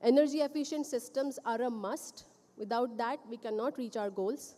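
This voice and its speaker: A woman with a high, mellow voice gives a matter-of-fact presentation at a conference. Her voice carries a long reverb from a large hall. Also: normal speed.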